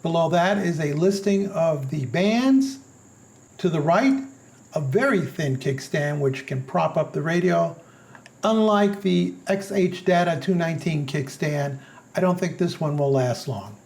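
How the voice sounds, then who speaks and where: A man narrates a scripted product review. His voice sits high for a male speaker and has a clearly creaky, gravelly edge. He delivers it as a reviewer walking the listener through a product. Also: animated, a little slow, a little mellow.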